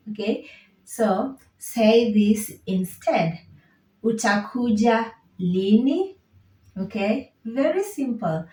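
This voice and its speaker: A woman's voice: medium pitch, darkish tone, recorded from a distance. A woman from Kenya with a Kenyan accent teaching Swahili in an online lesson, patiently repeating each phrase. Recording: roomy echo, set back from the mic.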